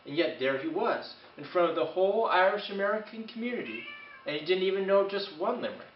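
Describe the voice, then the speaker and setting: A man performing a comedic tall tale as a storyteller, with a theatrical, animated delivery. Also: sits high, fast, a little hiss or hum. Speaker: comic storyteller. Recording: echoey room, distant mic, muffled.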